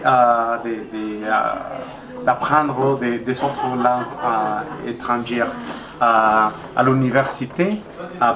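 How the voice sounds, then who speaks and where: A middle-aged man from Mexico with a Mexican Spanish accent, speaking French slowly and hesitantly as the guest in a casual interview, with many pauses and fillers. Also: mid-range voice. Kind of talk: casual interview in a learned language. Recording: dull echoey recording with crowd noise.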